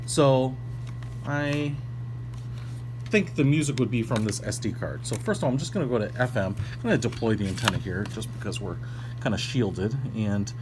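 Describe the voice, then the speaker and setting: A man's voice: medium pitch, normal speed. Casual male product reviewer, noisy humming recording. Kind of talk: casual unboxing review, ad-libbed. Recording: clearly noisy recording with hum.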